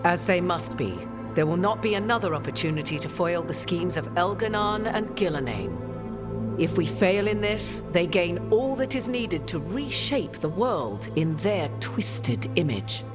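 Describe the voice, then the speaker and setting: A woman playing a grave, cryptic sorceress in a video game, speaking in formal, archaic, measured phrases. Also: on the low side, very animated, loud music behind the voice. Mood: solemn. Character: grave, cryptic sorceress ally with ancient memories. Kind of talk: video game cutscene acting.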